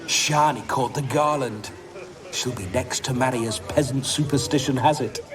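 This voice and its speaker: A man's voice: medium pitch, normal speed, crowd noise, natural voice. A man voicing a vain, lecherous ghost of a nobleman in a video game, theatrical and teasing. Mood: playful, boastful. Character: rakish, boisterous ghost of a nobleman, vain reveler. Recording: noisy, distant game scene capture.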